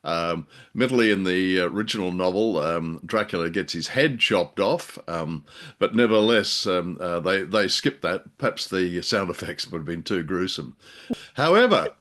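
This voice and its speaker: A man's voice: deep voice, animated, moderate pace, fairly bright tone. An older man hosting a radio show in casual review chat over a video call, rambling and hesitant with wry jokes. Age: older, around 70.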